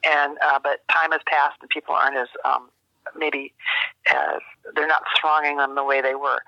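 An older woman speaking as an interview guest in a measured, thoughtful way, often searching for her words. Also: low voice, vocal fry, sharp tone, no room sound. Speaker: guest, journalist and journalism teacher. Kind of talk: reflective interview conversation. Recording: dull telephone-like line.

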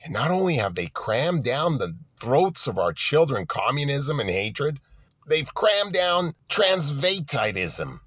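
Sarcastic man ranting, lively pitch. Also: slow, husky, no room sound, clean studio sound. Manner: sarcastic, full of rhetorical questions. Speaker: political commentator. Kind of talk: monologue to an audience.

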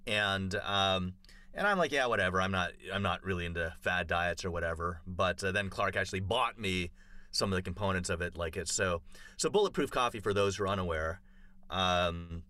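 A man's voice: low-pitched, normal speed, pushed and bright. A man chatting casually on a podcast, telling a long story full of fillers and restarts. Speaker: podcast co-host. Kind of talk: casual chat.

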